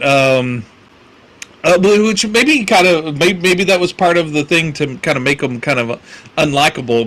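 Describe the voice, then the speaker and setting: A man's voice: a little high, fairly bright tone. A man co-hosting a film podcast, talking casually with lots of fillers and restarts and frequent laughs. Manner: rambling, many fillers and restarts, laughs often. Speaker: podcast co-host.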